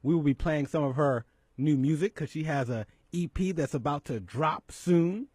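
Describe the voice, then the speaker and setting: A middle-aged man hosting a radio talk show, upbeat and quick to laugh, often repeating words as he talks. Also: on the high side, a little mellow, breathy edge, no echo. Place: radio studio.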